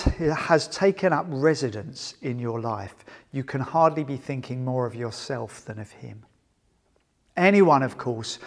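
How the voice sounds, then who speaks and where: A man in his forties, a pastor leading communion, speaking earnestly in short phrases with pauses and soft trailing endings. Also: medium pitch, creaky. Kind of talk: prayer and scripture reading for church service. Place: large empty indoor sports hall. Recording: echoey sports hall with long reverb.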